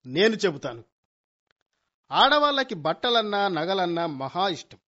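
A cocky young household detective in a radio comedy. His voice sits high, and he declaims grandly like a lawyer in court, dropping in English phrases. The recording is dull and lo-fi. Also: big pitch swings, unhurried, pushed and bright, exaggerated voice. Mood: dramatic, revealing. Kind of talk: Telugu radio comedy play acting.

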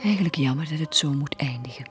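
An actress with a Flemish accent playing a village gossip relishing a scandal in a radio play. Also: female voice, low-pitched, fast talker, over music, close to an everyday voice. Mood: regretful gossip. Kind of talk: 1980s radio play acting.

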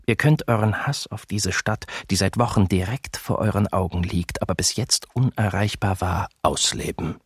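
An actor playing a German soldier recounting the capture of a city in a documentary radio drama. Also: male voice, deep voice, normal speed, hardly any pauses, slightly rough, no echo, exaggerated voice. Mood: grim, menacing.

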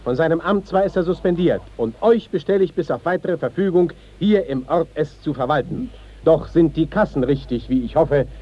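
An actor playing a stern, commanding court inspector in a classic 1950s German radio drama, with a husky voice. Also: male voice, fairly high pitch, animated, normal speed, dull audio. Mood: composed authority. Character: stern, commanding male visiting court inspector, indignant at disorder.

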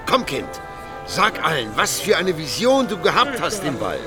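A man's voice: on the high side, big pitch swings, moderate pace. An actor playing a benevolent, authoritative medieval emperor in a radio play, declaiming his words. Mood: commanding. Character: commanding, benevolent medieval emperor, red-bearded. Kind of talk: radio drama acting. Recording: music playing under the voice.

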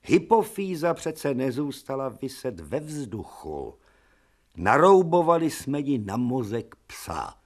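An older surgeon-professor in a radio play, stern and authoritative, lecturing his assistant. His voice rises and falls with lively emphasis at a middling pitch. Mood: grave. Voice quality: lecturing, commanding.

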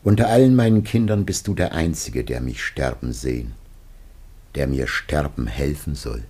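An actor playing a grave, aged biblical patriarch facing death, declaiming solemnly and haltingly in a classic radio drama. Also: male voice, rumbling low, animated, mellow, husky, full character voice. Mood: anguished, solemn.

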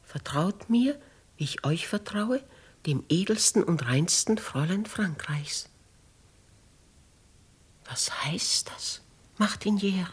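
Husky, imperious noblewoman. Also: mid-range voice, expressive, slow pace, pauses often, exaggerated voice. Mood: hushed, pondering. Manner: grave, commanding, theatrical. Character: dignified, compassionate noblewoman, imperious with her servant. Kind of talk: 1950s German radio play acting.

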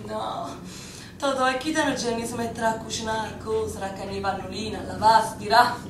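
A woman's voice: a little high, fairly even intonation, a little fast, close to an everyday voice. An actress playing a bold, defiant young Neapolitan woman in a radio drama, teasing and headstrong. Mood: wistful. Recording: echoey, distant, faint noise.

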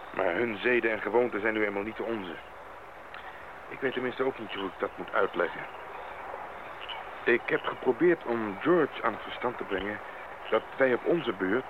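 Brief: man as earnest expedition member, medium pitch, reflective, vintage radio play with clear noise. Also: normal speed, pauses often, close to an everyday voice. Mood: puzzled, reflective. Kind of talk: old radio drama acting. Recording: muffled, noisy old radio recording.

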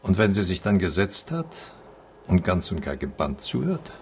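Thoughtful mentor type in a radio drama, really low and creaky, musing, faint noise behind. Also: male voice, sing-song, darkish tone. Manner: deliberate, musing, instructive. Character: philosophical, contemplative male mentor, musing on truth. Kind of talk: naturalistic radio drama acting.

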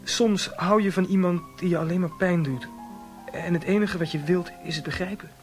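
A man's voice: sits high, normal speed, faint music behind, close to an everyday voice. An actor in a radio play, playing a shy, lovesick, brooding young man who speaks hesitantly and earnestly. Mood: melancholy, subdued.

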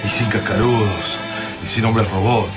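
An actor playing a comradely resistance fighter in a radio drama, with an Argentine accent and a colloquial, commanding way of talking. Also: male voice, fairly low pitch, fairly even intonation, moderate pace, lightly acted. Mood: weary, relieved. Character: weary, comradely resistance fighter leading his friend. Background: loud music.

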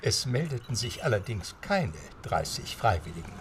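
A man's voice: lowish voice, very animated, normal speed, very noisy. A man narrating a scripted adventure story as the narrator of an audio drama.

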